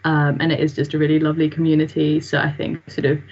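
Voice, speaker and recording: Husky young woman from the Netherlands. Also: deep voice, monotone, fast, darkish tone. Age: young adult. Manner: chatty, many fillers and hedges. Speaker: student. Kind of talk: casual Q&A answers on video call. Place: small room at home. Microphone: laptop webcam mic on video call. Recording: dull lo-fi video call audio.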